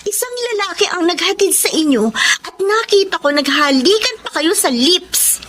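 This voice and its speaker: A woman's voice: very high-pitched, expressive, moderate pace, fairly bright tone. A woman playing a sulky, possessive young adult daughter in a Filipino radio drama, speaking with a Filipino accent. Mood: angry. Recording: music under the voice.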